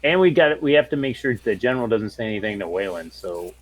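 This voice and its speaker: A man's voice: lowish voice, expressive, fast talker, slight background noise. A man talking earnestly as a tabletop role-player, explaining and rephrasing as he goes. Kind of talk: earnest in-character planning talk.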